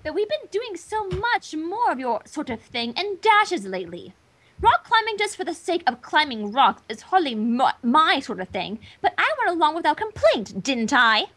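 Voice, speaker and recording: A woman's voice: high voice, sing-song, moderate pace, a bit bright. A woman playing a refined, fussy unicorn fashionista, prim and theatrical as she coaxes in a story reading. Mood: persuasive.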